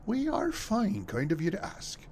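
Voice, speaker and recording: A man's voice: high-pitched, huge pitch swings, measured pace, darkish tone, a little hiss or hum, exaggerated voice. A man playing a weary, good-humored dockworker husband in a radio play. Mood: genial. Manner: folksy, teasing.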